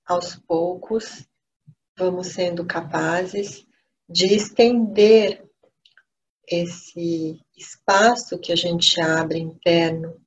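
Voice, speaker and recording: Slow Brazilian woman guiding meditation, lo-fi. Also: lowish voice. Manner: gentle, unhurried, pausing between short phrases. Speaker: meditation teacher. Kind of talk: guided meditation instruction. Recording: dull lo-fi audio with steady noise.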